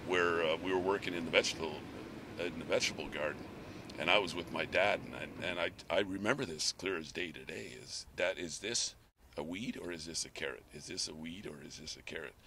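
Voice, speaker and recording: A man's voice: low-pitched, brisk, pushed and bright. A middle-aged man from Canada, a nursery company president, answering interview questions casually and rambling with many restarts. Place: outdoors on a farm, then inside a greenhouse. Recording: noisy outdoor recording.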